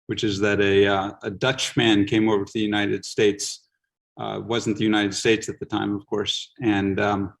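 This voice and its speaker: Low, gravelly man, hesitant. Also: poor audio. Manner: hesitant, many ums and uhs. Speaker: scientist guest. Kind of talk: casual self-introduction.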